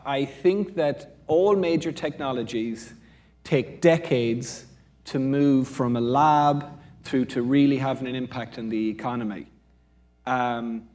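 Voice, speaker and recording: A man's voice: medium pitch, a little mellow. A man with an Irish accent answering as a panel speaker from a business school, discursive and often rephrasing mid-sentence.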